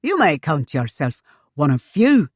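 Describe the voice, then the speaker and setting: A woman voicing a shrewd, fussy older gentleman in a storybook, speaking in a formal, old-fashioned way. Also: low-pitched, very animated, a little slow, slightly rough, no room sound, clean studio sound, heavily acted. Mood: proud, wry.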